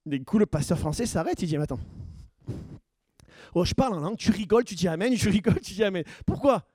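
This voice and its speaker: High-voiced man preaching with rising emphasis. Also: lively intonation, fast talker, darkish tone, no echo. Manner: questions the congregation, builds to emphatic points. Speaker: pastor. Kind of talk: sermon teaching.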